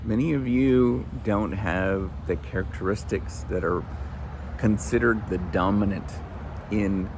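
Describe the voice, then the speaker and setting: A man speaking informally and earnestly, giving motivational advice in a squawky voice with halting, repeated phrases and pauses. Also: background noise. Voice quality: squeaky, squawky. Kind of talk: informal motivational monologue to viewers.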